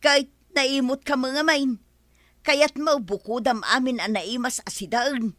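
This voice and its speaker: Bright, high-voiced aggrieved village wife played by an actress. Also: female voice, fairly even intonation, measured pace, dry recording, studio quality. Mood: angry, aggrieved. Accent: Ilocano. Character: put-upon village wife, quarrelsome and aggrieved. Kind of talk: Ilocano audio drama acting.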